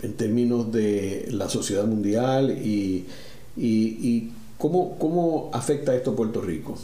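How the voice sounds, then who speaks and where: Dark-voiced man asking questions. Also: fairly low pitch, reverberant. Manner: halting questions with fillers. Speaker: interviewer. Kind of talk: interview questions.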